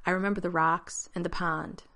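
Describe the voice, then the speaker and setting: A woman's voice: on the low side, fairly even intonation, a little fast, pushed and bright. A woman reading a story, voicing a guarded, laconic male military officer who speaks in terse, understated lines. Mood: wry.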